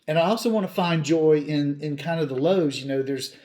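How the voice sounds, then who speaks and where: A man's voice: on the high side, fairly quick, darkish tone. A man in his fifties hosting a hobby channel, talking casually to viewers in an earnest, plain-spoken way with emphatic repetition. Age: 50s.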